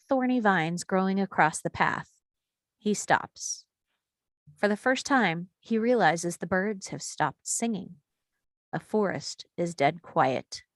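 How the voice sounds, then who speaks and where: A woman's voice: on the low side, no room sound. A woman reading stage directions as the narrator of a screenplay table read, in a scripted storytelling style.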